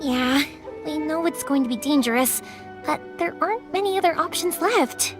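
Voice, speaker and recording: A woman's voice: sits high, moderate pace, music in the background. A woman voicing a caring young woman who makes fireworks in a video game, chatty and reassuring. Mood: hushed urgency. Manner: animated, reassuring, casually chatty. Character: cheerful, caring young woman fireworks maker, loyal to customers. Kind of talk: English video game voice acting.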